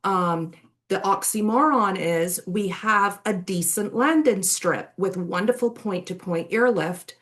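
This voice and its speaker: A woman's voice: medium pitch, measured pace, a bit bright. A woman from Barbados and England giving an effusive, informal sales presentation in colorful, colloquial wording. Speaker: resort sales and marketing director, guest presenter.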